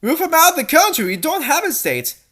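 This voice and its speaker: Woman playing urgent young protector, pitched high. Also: sing-song, brisk, pushed and bright, exaggerated voice. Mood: explaining. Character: protective young friend of a cartoon princess, urgent, earnest.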